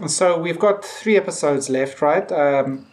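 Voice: medium pitch, unhurried, a little mellow. A podcast co-host talking casually in a discussion, conversational and peppering sentences with "like". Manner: conversational, heavy use of "like".

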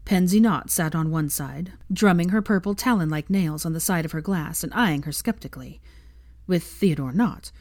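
A woman's voice: fairly low pitch, darkish tone, no room sound, studio quality. A woman reading fiction aloud as a narrator, in scripted storytelling style. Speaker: fan fiction reader, narrator.

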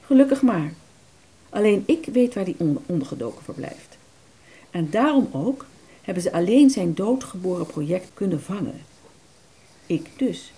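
A woman in a Dutch radio play voicing an aborted idea, a bitter vanished thought, telling its tale dramatically with slow emphases. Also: fairly low pitch, expressive, dark tone, breathy edge. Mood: rueful.

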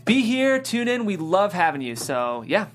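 A man's voice: high voice, very animated, moderate pace, faint music behind. A man hosting a casual panel discussion in an enthusiastic, informal way. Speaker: host.

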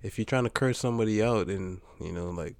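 A man's voice: on the low side, a little fast. A man from the Bahamas chatting casually over drinks, hesitant, often repeating words and laughing.

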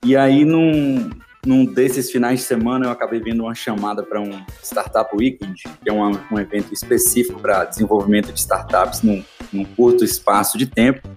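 A man's voice: lowish voice, steady tone, normal speed, a little mellow, soft background music. Friendly Bahian man telling a story. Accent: Bahian Brazilian Portuguese. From Salvador, Bahia, Brazil. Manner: friendly, rambling, many fillers. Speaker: podcast guest, entrepreneur. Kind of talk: casual storytelling interview.

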